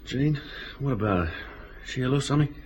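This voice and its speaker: A man's voice: medium pitch, animated, fairly quick, natural voice, rough recording. A man acting a rattled, wildly swinging convict. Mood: shocked, grieving. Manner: emotional, faltering. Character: rattled, irritable male jailed thief. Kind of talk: radio drama acting. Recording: faint background noise, ambience.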